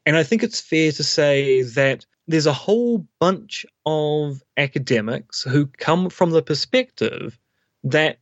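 A man's voice: a little high, animated, takes it slowly, no echo, muffled recording. A man, a philosophy academic, explaining his views at length in an interview with dry humour. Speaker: guest academic, philosophy professor.

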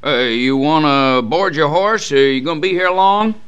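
A man plays an eager little-town local in an old western radio play. His voice is bright, clear and fairly high, with a folksy, nervous hesitancy. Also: normal speed, dull audio, lightly acted. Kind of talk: 1960s western radio drama acting.

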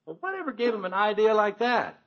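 A man's voice: high voice, sing-song, brisk, background noise. An American actor playing a flustered, bossy middle-aged family father in a 1950s radio sitcom. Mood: flustered, exasperated. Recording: dull vintage radio broadcast.

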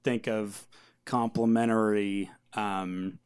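A male musician speaking in an informal interview. His voice sits a little low and sounds smooth and clear, with a conversational delivery. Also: level tone, slow, a little mellow. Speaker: band member being interviewed. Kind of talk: casual chat.

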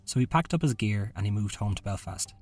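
A man's voice: on the low side, lively intonation, brisk, darkish tone, soft background music. A man hosting a podcast, reading a scripted true-crime narration. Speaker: podcast host.

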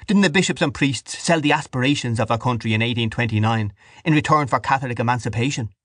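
A man playing an impassioned, defiant Irish nationalist in an audiobook, with a forceful, declamatory manner. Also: mid-range voice, animated, fast talker, breathy edge, no echo, clean studio sound, pitched above the performer's own voice. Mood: indignant.

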